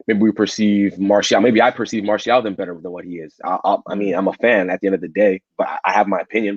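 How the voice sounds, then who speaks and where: A man's voice: on the low side, a little mellow, slightly rough, no echo. A man co-hosting a casual football podcast debate, blunt and emphatic, often repeating words as he drives his point home. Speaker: podcast co-host. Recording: dull, muffled audio.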